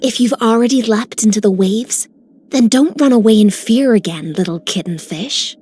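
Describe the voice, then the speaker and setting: A woman's voice: on the high side, normal speed, warm tone, husky. An actress voicing a cool, lofty, cryptic warrior woman in a video game. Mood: cool, teasing. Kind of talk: video game character voice lines.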